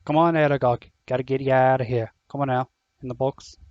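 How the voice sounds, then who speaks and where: A man's voice: medium pitch, steady tone, brisk, darkish tone, dry recording, dull audio, lightly acted. A man playing a huge, protective schoolboy in an audio drama, speaking colloquially and emotionally. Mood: urgent coaxing.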